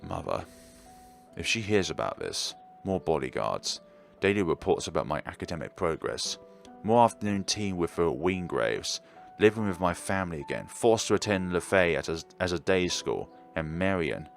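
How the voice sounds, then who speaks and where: A man's voice: deep voice, gravelly. A man reading a visual novel aloud and giving blunt opinions. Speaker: gaming YouTuber.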